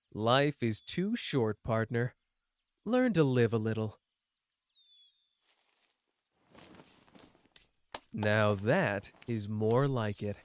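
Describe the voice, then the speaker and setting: Give the listener a cocky young male sorcerer, acted by a man in an intimate ASMR roleplay. He drawls at an unhurried pace with a swooping, animated melody, smug and teasing yet caring. The recording is close and dry. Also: mid-range voice, no room sound. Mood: playful, goading.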